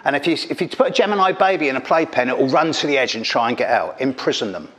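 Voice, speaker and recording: A man's voice: mid-range voice, animated, fast talker, fairly bright tone. Caustic middle-aged man doing stand-up. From England. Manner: caustic, teasing, punchy delivery. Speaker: stand-up astrologer. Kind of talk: stand-up comedy routine. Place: stage of an event hall. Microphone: handheld mic.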